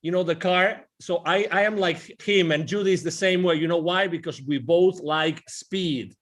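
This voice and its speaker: A man's voice: high voice, normal speed, fairly bright tone. A man in his forties hosting an interview over a video call, eager and full of restarts. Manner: enthusiastic, talks with his hands, many restarts. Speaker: host. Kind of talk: casual interview. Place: indoors, virtual backdrop. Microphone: wired earphone mic on a video call.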